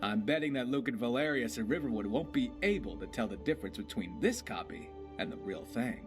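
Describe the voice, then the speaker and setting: A man's voice: medium pitch, expressive, brisk, music in the background, close to an everyday voice. Male actor as swashbuckling rogue for a game, playful and theatrical, wide melodic range. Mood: warm, sly. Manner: wry, boastful, theatrical. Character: dashing cocky young male pirate rogue, playful and tender. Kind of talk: voiced game character dialogue.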